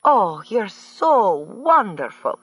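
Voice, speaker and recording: A woman's voice: on the high side, lively intonation, a little slow, a bit bright, dry recording, pitched above the performer's own voice. A man putting on a fussy, gushing society woman. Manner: gushing, mangled phrasing. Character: fussy wealthy woman with odd phrasing. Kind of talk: audiobook character voice.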